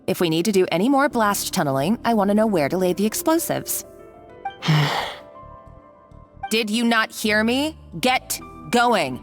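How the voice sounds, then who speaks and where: A woman's voice: mid-range voice, very animated, unhurried, faint music behind. An actress voicing a scheming, mocking woman villain in a video game, speaking in a bossy, condescending way. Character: scheming, taunting woman villain, cruel and gloating. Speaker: game character.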